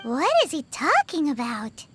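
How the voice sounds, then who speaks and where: An actress playing a tiny, cheeky, childlike fairy companion in a fantasy game. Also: female voice, high voice, very animated, unhurried, over loud music. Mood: cheeky, puzzled.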